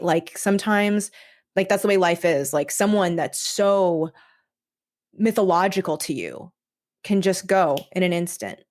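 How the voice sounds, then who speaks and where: A woman talking casually as a podcast guest, explaining her creative choices in an animated, conversational way and voicing imagined reactions. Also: fairly low pitch. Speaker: guest, audio drama creator.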